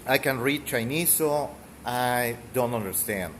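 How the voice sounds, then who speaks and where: Man, Mexican accent, Spanish first language, slow rambling gaming commentary, coughs now and then, faint background ambience. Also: medium pitch, a bit bright, slight background noise. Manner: rambling, with frequent fillers and coughs. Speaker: gaming streamer. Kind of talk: casual gameplay commentary.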